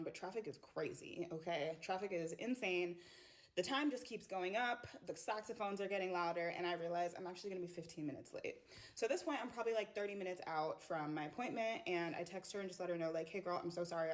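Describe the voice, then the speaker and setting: A woman's voice: fairly low pitch, fast talker. A woman from the United States telling a chatty story time, acting out bits of dialogue. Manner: conversational, acts out dialogue.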